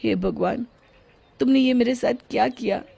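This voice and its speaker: A woman's voice: fairly high pitch, normal speed, vocal fry, dark tone, slightly rough, voice pushed up, full character voice. A woman voicing a self-pitying bald young man who speaks plaintively. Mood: pleading. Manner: pleading, plaintive.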